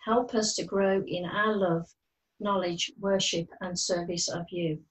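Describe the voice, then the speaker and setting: A woman's voice: on the low side, fairly even intonation, measured pace. A middle-aged woman reading out a prayer at home, a little way from a webcam microphone. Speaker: church member leading prayer. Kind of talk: read-out prayer. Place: room at home. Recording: slightly distant webcam mic.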